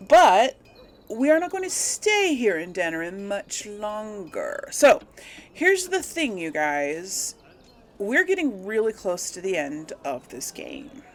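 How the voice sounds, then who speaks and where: A woman's voice: fairly high pitch, fairly bright tone. Casual woman chatting through a game. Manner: informal, chatty, thinking aloud. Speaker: let's play host. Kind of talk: casual gaming commentary to viewers.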